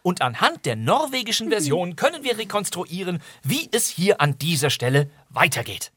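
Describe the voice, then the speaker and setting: A man's voice: high-pitched, sing-song, normal speed, a bit bright, breathy edge, no echo. A man presenting and narrating in a chatty, comic, mock-dramatic way, as if retelling a story to listeners.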